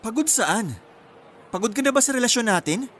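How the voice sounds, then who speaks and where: An actor playing an insecure, pleading young boyfriend in an earnest, emotional radio drama. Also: male voice, extremely high pitch, animated, normal speed, a bit bright, a little hiss or hum. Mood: hurt, pressing.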